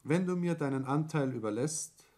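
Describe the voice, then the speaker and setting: An actor playing a brusque, businesslike elder son in a radio play, curt and commanding. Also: male voice, on the high side, animated, moderate pace, dark tone, natural voice. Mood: cold, pressing. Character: brusque, businesslike, domineering elder son taking over family firm. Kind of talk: 1960s German radio play acting.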